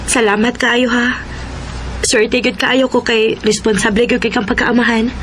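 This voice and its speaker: A woman's voice: fairly high pitch, flat delivery, moderate pace, a bit bright, slight background noise, natural voice. An actress playing an emotional wife and mother in a Cebuano radio drama, with a Filipino accent. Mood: gentle, affectionate. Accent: Filipino, Cebuano-speaking. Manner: emotional, melodramatic delivery. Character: betrayed, fiery wife and devoted mother.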